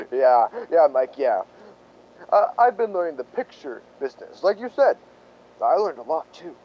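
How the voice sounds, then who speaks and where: A man's voice: a little high, sing-song, lo-fi sound. Sly stammering police lieutenant, played by a man, swooping voice. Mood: folksy, sly. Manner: halting, stammering, mock-humble. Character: folksy, sly police lieutenant toying with suspects. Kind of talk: 1940s radio drama acting.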